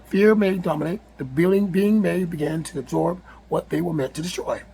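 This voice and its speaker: A man with a raspy, hoarse voice, reading a numbered list aloud with casual asides, often stumbling and rereading words. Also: a little high, normal speed, mellow, a little hiss or hum. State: hoarse from yelling. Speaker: spiritual video host.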